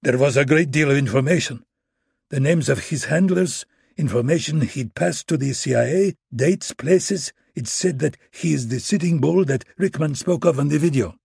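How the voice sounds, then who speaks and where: Guy putting on a scared russian agent, thick russian accent, husky, voice climbing high. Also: moderate pace, darkish tone, dry recording, clean studio sound, exaggerated voice. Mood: compliant. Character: frightened male Russian agent, pleading.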